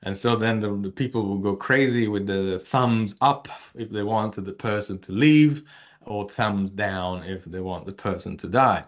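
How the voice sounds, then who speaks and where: Italian-accented man, lowish voice, online workshop presenter, chatty and playful, lots of uhs and restarts. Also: a little slow. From Italy. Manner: chatty, many fillers and restarts, playful with audience. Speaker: research communication presenter.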